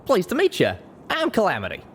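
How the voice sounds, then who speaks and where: An easygoing pegasus stallion voiced by a male reader talks in a raised, animated voice. He is folksy and friendly, a storybook cowboy on a noisy recording. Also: high voice, fairly quick, voice pushed up. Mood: cheerful welcome. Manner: folksy, grinning. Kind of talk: audiobook character voice.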